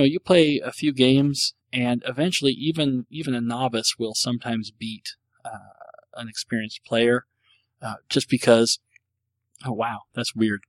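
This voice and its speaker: A man in easy, rambling solo chat, laughing at himself and restarting his sentences often. His pitch sits right in the middle for a man. The recording is dry and close, with no room sound. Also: no echo. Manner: self-deprecating, rambling, halting with many restarts. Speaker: podcast host answering listener questions.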